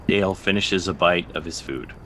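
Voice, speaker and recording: A man's voice: moderate pace, a bit bright, low-quality recording. A man narrating scripted stage directions for a drama table read. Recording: video-call mic with background rumble.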